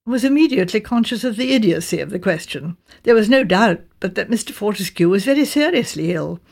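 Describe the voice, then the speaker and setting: A woman with a Received Pronunciation British accent, reading a mystery novel as scripted narration in a measured, precise way. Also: medium pitch, expressive, vocal fry, darkish tone. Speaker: audiobook narrator.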